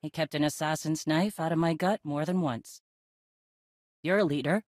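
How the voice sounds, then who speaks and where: A woman's voice: deep voice, normal speed, darkish tone, no echo, natural voice. Lady playing a mandalorian boss for a game, tough, dry wit, close dry recording. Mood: thoughtful. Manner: dry, wry, assured. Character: tough, dry-witted female Mandalorian leader. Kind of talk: video game cutscene acting.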